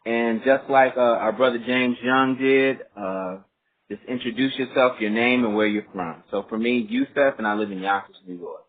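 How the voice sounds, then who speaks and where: A man hosting a group phone call, talking casually and politely in a halting, explanatory way. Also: mid-range voice. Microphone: telephone conference line. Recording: muffled phone line with clear noise, faint.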